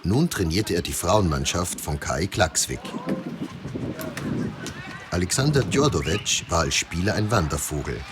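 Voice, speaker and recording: Deep male narrator, very low and lively, reading a documentary script over a noisy bed. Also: moderate pace, pauses often.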